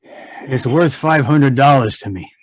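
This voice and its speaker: Man playing a very slow, hesitant gentleman client. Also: mid-range voice, close to an everyday voice. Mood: uneasy. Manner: halting, stammering. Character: hesitant, uneasy well-off male client. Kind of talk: audio drama acting.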